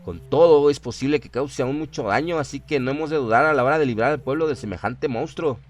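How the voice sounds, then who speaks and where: A man's voice: medium pitch, fast, natural voice. A man voicing a grave, resolute learned monster hunter in a horror radio drama, solemn and emphatic. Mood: urgent, resolute. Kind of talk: audio horror drama dialogue.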